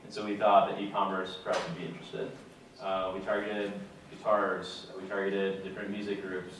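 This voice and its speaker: Young male speaker, relaxed, filler-heavy delivery; far-off echoey room, faint hiss. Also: sits low, normal speed. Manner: relaxed, plain-spoken, many fillers. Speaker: guest speaker. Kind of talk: casual talk to a student audience. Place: lecture room with audience. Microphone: far camera.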